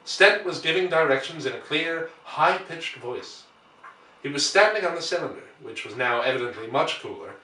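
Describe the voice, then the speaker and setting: A man reading a classic novel aloud as dramatic narration, with measured, theatrical phrasing. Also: medium pitch, bright, forceful tone. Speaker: audiobook reader. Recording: roomy echo, distant microphone.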